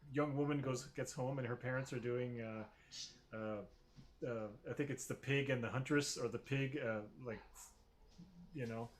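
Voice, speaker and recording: A man's voice: medium pitch, steady tone, moderate pace. A man narrating a tabletop game as game master, rambling with many fillers and restarts.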